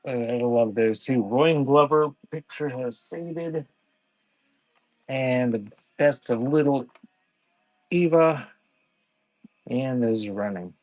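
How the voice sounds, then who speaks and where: A man running a casual live-stream sale, talking in an offhand, halting way and thanking viewers by name. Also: medium pitch, a little mellow, no echo, rough recording. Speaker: live online seller.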